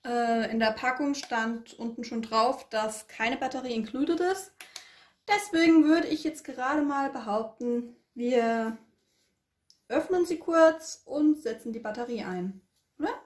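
A woman with a fairly high voice chats casually as an unboxing co-host, adding background on the product. The room around her is clearly echoey. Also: a little slow.

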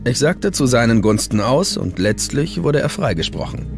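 A man's voice: mid-range voice, very animated, normal speed, gravelly. A man voicing a confident former drug agent turned defense lawyer in a first-person documentary voice-over. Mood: confident, reflective. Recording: music under the voice. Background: background music.

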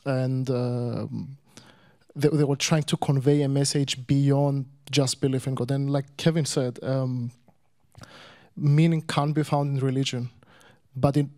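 Young greek guy telling his story in english, flat monotone, lots of ums. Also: medium pitch, a little slow. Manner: hesitant, many ums and restarts. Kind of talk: personal story told to a guest.

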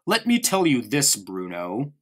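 A man's voice: medium pitch, huge pitch swings, measured pace, fairly bright tone, full character voice. A man voicing a stern military father who lectures his son at the table.

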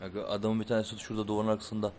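A man's voice: on the low side, completely flat delivery, racing, a little mellow. A man chatting casually and slangily with teammates while playing a mobile shooter. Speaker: gamer playing with friends. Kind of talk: casual gaming chat with teammates. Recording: noisy game mic with gunfire. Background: mobile shooter game gunfire and clicks.

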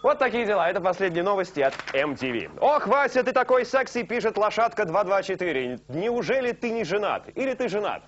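Animated joking late-night host. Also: male voice, high-pitched, brisk, hardly any pauses, slight background noise. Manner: joking, teasing, lively and animated. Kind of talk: playful live studio banter. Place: studio set, on a sofa. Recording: dull lo-fi broadcast tape.

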